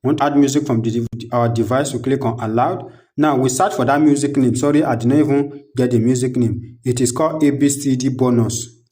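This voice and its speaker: A man's voice: medium pitch, steady tone, a little fast, warm tone. A man hosting a step-by-step screen tutorial, narrating each click aloud in a repetitive, instructional way. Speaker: tutorial host.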